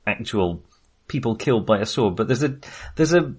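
Animated British man chatting about films. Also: lowish voice, moderate pace, husky. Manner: expressive, acts out imagined quotes. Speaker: film podcast co-host. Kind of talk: casual film discussion.

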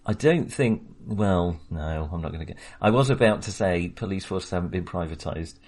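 A man's voice: low-pitched, lively intonation, normal speed, darkish tone. A man co-hosting a casual film podcast, talking with many fillers and small laughs. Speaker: podcast co-host.

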